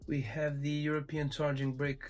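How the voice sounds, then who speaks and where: A man with a somewhat high-pitched voice narrates a casual unboxing as a tech reviewer. He explains each item step by step, pausing briefly as he goes. Faint music plays behind his voice. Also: monotone, a little mellow.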